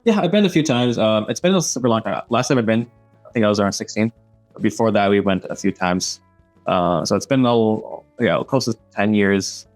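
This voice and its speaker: Young man co-hosting a laid-back podcast, deep-ish voice, rambling, hesitant delivery with many fillers. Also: lively intonation, brisk, soft background music. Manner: hesitant, full of fillers, laughs often. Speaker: podcast co-host. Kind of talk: casual podcast chat.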